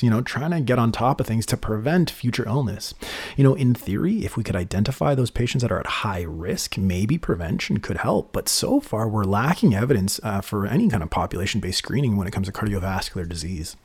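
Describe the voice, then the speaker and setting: A man's voice: medium pitch, slightly rough. A man, a physician, explaining research papers in a casual podcast chat, peppered with "you know". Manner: lively, explanatory, many you-knows. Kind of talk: casual medical journal discussion.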